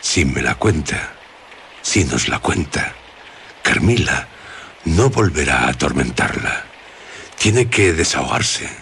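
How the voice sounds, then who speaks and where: Very deep, gravelly, ominous storyteller. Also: male voice, big pitch swings, fairly bright tone, a little hiss or hum, heavily acted. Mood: insistent, coaxing. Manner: theatrical, deliberate, suspenseful pauses. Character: ominous, insistent male storyteller coaxing out dark secrets. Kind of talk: dramatic radio narration.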